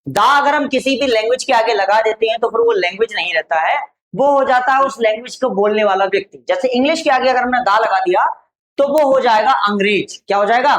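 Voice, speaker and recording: A woman with an Indian accent teaching spoken English to Hindi-speaking students, slowly dictating model answers and repeating each phrase. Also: fairly high pitch, rough recording.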